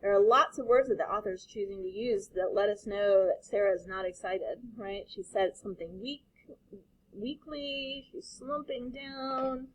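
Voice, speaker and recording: A woman teacher reading a picture book aloud to children, expressive and pausing to explain. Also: mid-range voice, low-quality recording.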